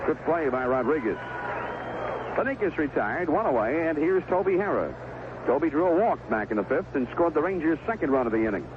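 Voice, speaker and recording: Male radio baseball play-by-play announcer, animated delivery, narrow phone-like band with clear background noise. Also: fairly high pitch, brisk. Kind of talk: live radio baseball commentary. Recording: narrowband noisy 1970s AM radio broadcast.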